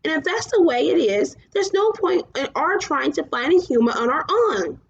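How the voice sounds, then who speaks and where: A woman voicing a gentle, earnest male luck dragon in a storybook, coaxing his companion. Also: high-pitched, big pitch swings, normal speed, voice pushed up, heavily acted. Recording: muffled, clearly noisy home recording.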